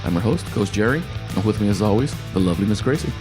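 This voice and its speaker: A man's voice: lowish voice, animated, quick pace, over music. A man hosting a casual sports podcast as a football coach, upbeat, with many "uh" fillers and repeated catchphrases. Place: indoor room with children present.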